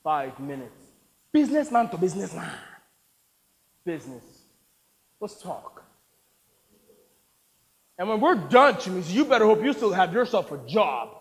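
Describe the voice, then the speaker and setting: A man voicing a domineering, bullying male film producer who shouts, sneers and makes threats. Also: high voice, sing-song, unhurried, long pauses, in a large hall, full character voice. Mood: threatening.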